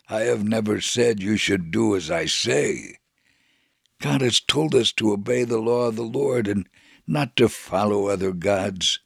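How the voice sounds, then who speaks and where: A man reading a scripted first-person religious narrative in a measured, solemn, dramatic way. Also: fairly low pitch, vocal fry, fairly bright tone, slightly rough, dry recording, studio quality. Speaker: storyteller on a religious radio program.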